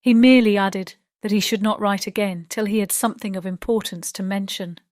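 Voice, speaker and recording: A woman voicing a gentle, dutiful young lady in an audiobook, composed and earnest. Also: medium pitch, steady tone, a little fast, no echo, studio quality, close to an everyday voice. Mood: hopeful, earnest. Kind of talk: dramatized audiobook character reading.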